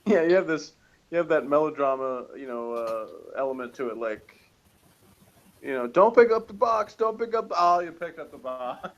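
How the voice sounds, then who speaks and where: Gravelly-voiced man chatting casually. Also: on the high side, expressive, normal speed, muffled recording. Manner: rambling, jokey, chuckles often. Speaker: reviewer on a TV show review channel. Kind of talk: casual chat reviewing a TV episode.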